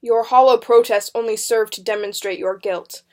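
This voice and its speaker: A woman reader puts on a stern male judge from a witch-trial storybook. He is loud and forceful, with a harsh, sneering, accusing manner and an air of total command. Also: a little high, steady tone, normal speed, close to an everyday voice. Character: booming, sneering witch-trial judge, tall dark-robed man. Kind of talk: dramatic storybook reading.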